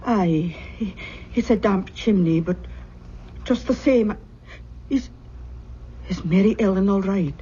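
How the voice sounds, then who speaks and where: An actress playing an anxious, superstitious older aunt in an old-time radio drama. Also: female voice, mid-range voice, dark tone, slight background noise, natural voice. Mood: worried. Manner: hesitant, halting, fretful. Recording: muffled old radio recording.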